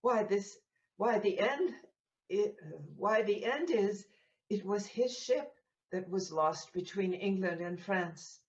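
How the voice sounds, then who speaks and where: A woman playing a gossiping, needling Venetian townsperson in a Shakespearean audio play, with measured, halting delivery. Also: mid-range voice, natural voice. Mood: gossipy concern. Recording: muffled, echoey room recording.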